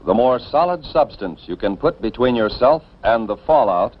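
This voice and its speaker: An American man reading scripted 1950s instructional film narration in an authoritative, deliberate manner, addressing the listener directly and emphatically. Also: medium pitch, animated, husky. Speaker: narrator of civil defense educational film. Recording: dull band-limited vintage film soundtrack.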